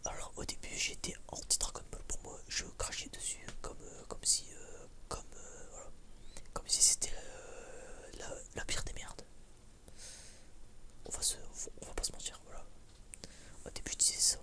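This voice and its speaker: A young man giving a casual ASMR chat in a whisper, rambling and hesitant, in informal, slangy words. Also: normal speed. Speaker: ASMR creator.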